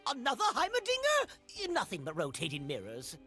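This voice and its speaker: A tiny old yordle inventor for a video game, eccentric and pedantic. The high voice leaps wildly in pitch with excitable, exclamatory delivery over faint music. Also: male voice, moderate pace, heavily acted. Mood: intrigued, then dismissive. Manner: pedantic, scientific jargon, exclamatory. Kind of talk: video game character voice lines.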